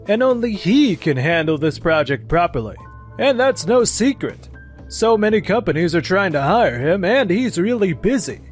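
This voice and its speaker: A man voicing a stern, measured male superior in a comic dub, deliberate, pointed and reproving. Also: a little high. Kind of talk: scripted manga dub voice acting.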